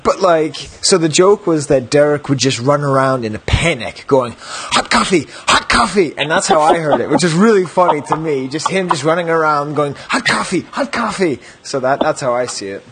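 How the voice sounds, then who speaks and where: A man's voice: on the high side, lively intonation, moderate pace, few pauses, a bit bright, slightly rough. A man from the United States hosting a podcast, chatting casually in a rambling, self-deprecating way with frequent chuckles. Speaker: podcast host.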